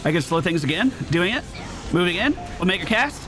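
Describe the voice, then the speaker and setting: A man giving casual, animated live commentary as a gaming host, exclamatory and swearing freely. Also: sits high, brisk, rough recording. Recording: game music behind.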